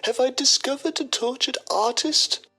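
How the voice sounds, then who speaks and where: A woman's voice: high voice, moderate pace, bright, forceful tone, breathy edge, faint music behind, full character voice. A woman playing a pretentious, self-admiring male journalist, narrating a mock documentary in a theatrical manner. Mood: pompous musing. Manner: pompous, theatrical.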